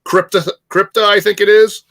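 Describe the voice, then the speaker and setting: A man co-hosting a casual chat show, speaking informally in a halting but emphatic way. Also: high-pitched, little pitch movement, vocal fry, a bit bright. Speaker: show co-host.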